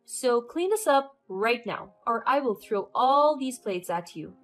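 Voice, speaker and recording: A woman's voice: high-pitched, very animated, a little slow, faint music behind, voice pushed up. A woman voicing a scheming, spiteful older mother-in-law who talks in a mocking, babyish tone. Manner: mocking, babyish, fake-sweet.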